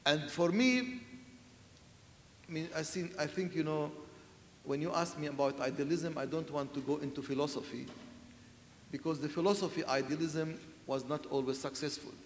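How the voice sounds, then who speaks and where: A man's voice: a little high, moderate pace, a little hiss or hum. A man answering on a festival panel, earnest and searching for words, often saying "you know". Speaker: panel speaker, church leader. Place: large festival hall with audience. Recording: echoey hall sound.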